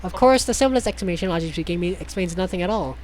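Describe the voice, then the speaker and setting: High-voiced male reader, hammy and sarcastic, tripping over words, faint noise behind. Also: quick pace, low-quality recording. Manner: theatrical, sarcastic asides, stumbles over words. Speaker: host reading a visual novel aloud. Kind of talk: mocking dramatic read-aloud with ad-lib commentary.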